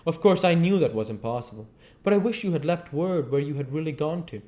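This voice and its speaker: A man voicing a grave, heartbroken gentleman, formal and pleading, from a classic novel. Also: fairly high pitch, lively intonation, brisk, voice pushed up, natural voice. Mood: distressed, earnest. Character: grave, heartbroken gentleman friend, earnest and reproachful.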